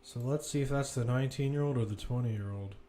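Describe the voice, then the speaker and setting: A man's voice: mid-range voice, fast, mellow. A man giving live, informal football play-by-play, chatting and thinking aloud as he follows the action. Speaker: play-by-play commentator. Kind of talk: live football commentary, casual and conversational.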